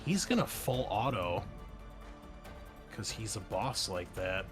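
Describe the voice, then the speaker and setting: A man's voice: lowish voice, big pitch swings, slow, music in the background. A young man narrating combat as game master, loose and chatty, with many ums and uhs. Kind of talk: narrating a tabletop game over voice chat.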